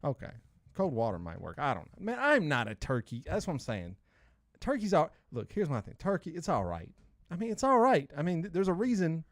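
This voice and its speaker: Co-host, male, casual and teasing, bursts into excited highs; dry booth sound. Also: fairly quick, no room sound. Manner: animated, teasing, jumps to excited outbursts. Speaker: podcast co-host. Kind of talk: casual chat.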